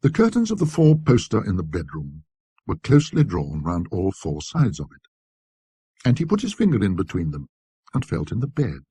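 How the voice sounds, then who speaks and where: A man with a husky, raspy voice narrating a classic literary ghost story in a precise, scholarly, measured manner. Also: low-pitched, wildly swooping pitch, warm tone, dry recording.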